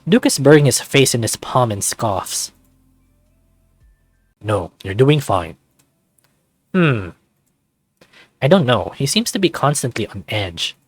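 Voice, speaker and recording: A man's voice: medium pitch, big pitch swings, normal speed, no echo. Close, dry male narrator over music. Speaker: let's player of a visual novel. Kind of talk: casual reading aloud with commentary.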